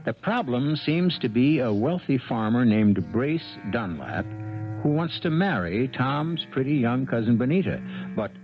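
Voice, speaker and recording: A man hosting a 1970s radio drama with deliberate, dramatic scripted narration. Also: mid-range voice, very animated, faint music behind. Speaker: program host. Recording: dull 1970s radio broadcast.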